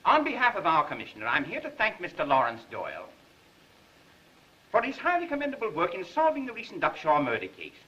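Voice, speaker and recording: Fussy, high-pitched, wildly swooping official. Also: male voice, wildly swooping pitch, fairly quick, sharp tone, slight background noise, exaggerated voice. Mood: pompous. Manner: pompous, flowery, stammering. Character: fussy, pompous, flustered male police secretary. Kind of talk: 1930s film acting. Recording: dull lo-fi 1930s film soundtrack.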